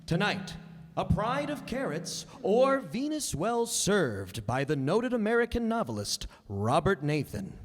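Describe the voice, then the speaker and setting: A man playing a formal old-time radio announcer, narrating a script with deliberate, theatrical phrasing. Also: high voice, very animated, heavily acted. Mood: solemn, intriguing. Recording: reverberant hall stage recording.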